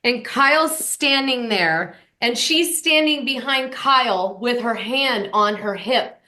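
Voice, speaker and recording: A middle-aged woman from Northern California hosts a podcast in a casual, animated chat. She talks with emphatic bursts and swears freely. Her voice is bright and sits a little high. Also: takes it slowly. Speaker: podcast host.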